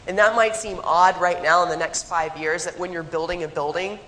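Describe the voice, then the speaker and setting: A hoarse woman, still getting over a cold, presents to a conference crowd. Her voice sits on the lower side, and she keeps turning to the audience with direct questions. A long hall reverb trails every phrase. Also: steady tone, brisk, a bit bright, slight background noise. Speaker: economic development consultant presenting.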